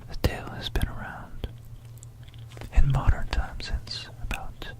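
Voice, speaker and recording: A breathy, unvoiced whisper from an ASMR host, recorded close on a stereo microphone. The delivery is very slow and hushed, with a conspiratorial manner. It is educational narration meant to be relaxing. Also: rough recording. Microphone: close stereo binaural microphone.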